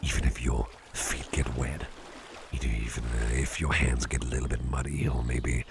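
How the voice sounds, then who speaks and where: A man playing a calm, caring, playful businessman boss in a slow, intimate ASMR roleplay, speaking in a soothing, gravelly, very deep murmur. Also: noisy background. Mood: curious, testing. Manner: soothing, unhurried, repeats short phrases.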